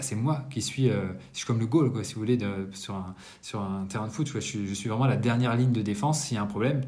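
A man in his thirties giving a casual monthly business debrief to camera in a bright room, talking conversationally with frequent hesitations and self-corrections. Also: lowish voice. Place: indoors, bright apartment room.

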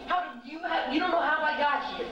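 An amateur performer playing a frightened, confused stranger trapped in a dark room in a horror audio drama, speaking in halting questions. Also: sits high, natural voice. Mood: uneasy. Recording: muffled, noisy old cassette, echoey and distant.

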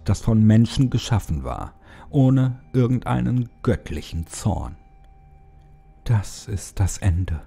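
A man's voice: low voice, gravelly, dark tone. A man narrating a scripted horror story as a dramatic audiobook.

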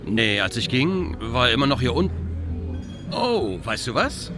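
A man's voice: medium pitch, big pitch swings, measured pace, over music. An actor playing an easygoing, teasing young man in a video game, speaking in a casual, natural way.

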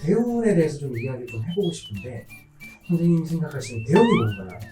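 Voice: mid-range voice, wildly swooping pitch, fast. An interviewer asking polite questions and wrapping up the segment. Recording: roomy, distant mic with music.

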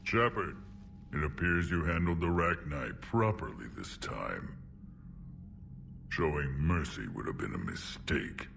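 An actor playing a huge, stern, proud alien warlord in a video game, with a gruff growl and blunt delivery. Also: male voice, animated, unhurried, a bit bright, slight background noise, exaggerated voice. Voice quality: deep gravelly growl. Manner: blunt, weighty.

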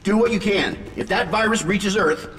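An actor playing a wry, commanding armored superhero in a video game, dryly sardonic. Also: male voice, high voice, moderate pace, fairly bright tone, long reverb tail, faint music behind, low-quality recording. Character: wry armored male superhero leader, adult, quick-witted. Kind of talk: video game cutscene acting.

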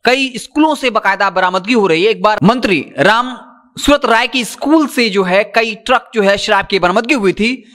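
A man's voice: sits high, moderate pace, fairly bright tone. A man, presenting news commentary into a handheld mic with emphatic, insistent delivery. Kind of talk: news commentary, reporting to camera. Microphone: handheld microphone.

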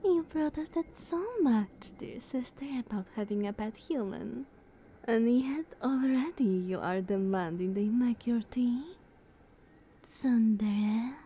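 A woman playing a playful, teasing younger vampire sister in ASMR roleplay, sultry and breathy, often near a whisper, coy and purring. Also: a little high, takes it slowly, a little hiss or hum. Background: soft ambience.

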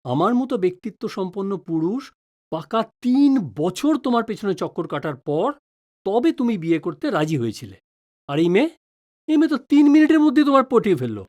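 A woman's voice: medium pitch, big pitch swings, fairly quick, warm tone. A woman playing a wary, joking middle-aged father in an audio drama, with a teasing, exclamatory manner. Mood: teasing grumble. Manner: teasing, mock-pompous, exclamatory.

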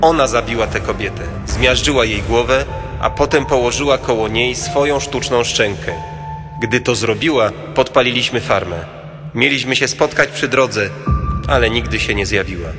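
An actor playing a dying farmhand making a grave confession in a radio drama. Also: male voice, medium pitch, normal speed, music in the background, lightly acted. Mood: grave, confessing. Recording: long hall reverb.

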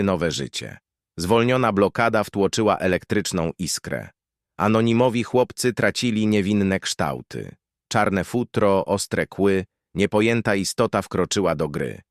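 A man reading a scripted dark horror tale as narrator, in clipped, deliberate, poetic phrases. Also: sits low, dry recording, studio quality.

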